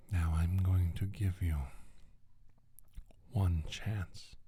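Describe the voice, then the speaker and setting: A man playing a menacing alien scientist in an ASMR roleplay, speaking in a breathy, raspy near-whisper with slow, imperious deliberation. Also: low-pitched, mellow, no echo, heavily acted. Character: menacing alien scientist bent on destroying humanity. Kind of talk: soft-spoken ASMR roleplay monologue.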